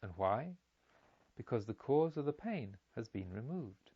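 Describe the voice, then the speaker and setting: A man voicing a wise Indian monk in a storybook, teaching deliberately and emphatically by parable. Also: mid-range voice, sing-song, breathy edge, lo-fi sound, pitched below the performer's own voice. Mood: calm, reasoning. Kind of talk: solemn storybook dialogue.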